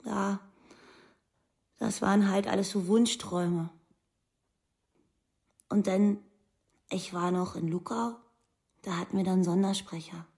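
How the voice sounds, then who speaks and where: A woman's voice: medium pitch, steady tone, slow, creaky, long pauses, darkish tone. An actress playing a devoted, wistful woman of twenty-six, talking in plain short phrases in a documentary radio play. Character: devoted, wistful 26-year-old girlfriend of a prisoner.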